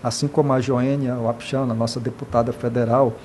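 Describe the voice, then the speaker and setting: An earnest man from Brazil speaks in an interview at a mid pitch. He talks with passion, often stops to restart, and keeps adding tag questions. A faint noise runs under the recording. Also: level tone, fairly quick, a little mellow. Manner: impassioned, many hesitations and repeated "né".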